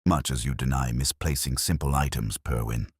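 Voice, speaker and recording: A man's voice: rumbling low, moderate pace, husky, no room sound, clean studio sound, pitched below the performer's own voice. A man voicing a confident, offended, nosy village woman in a storybook mystery, tart and self-assured. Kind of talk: storybook character dialogue.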